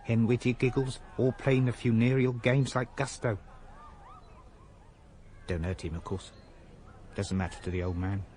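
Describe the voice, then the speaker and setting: Actor as whimsical, diffident middle-aged English shopkeeper. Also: male voice, mid-range voice, fairly even intonation, unhurried, long pauses, a little mellow, a little hiss or hum, lightly acted. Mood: wistful, musing. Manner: hesitant, muses with odd mangled long words. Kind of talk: British radio drama acting.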